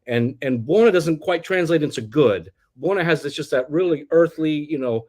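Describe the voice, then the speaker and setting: A man's voice: a little high, animated, moderate pace, darkish tone. A middle-aged man from the United States, telling his life story as an interview guest in a rambling way, with many restarts and fillers. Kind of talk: casual personal storytelling.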